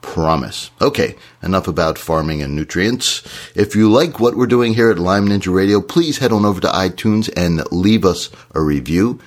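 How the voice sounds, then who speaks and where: A man, hoarse from shouting, co-hosting a podcast in a casual, encouraging way. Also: deep voice, normal speed. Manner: earnest, encouraging advice. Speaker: podcast co-host.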